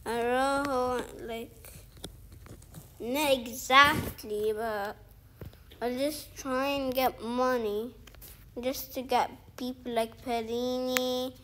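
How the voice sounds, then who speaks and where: A woman's voice: high-pitched, level tone, slow, fairly bright tone, a little hiss or hum. A young woman casually commentating her mobile football game, rambling and thinking aloud with many "like"s and restarts. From outside the featured countries. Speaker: gaming video creator.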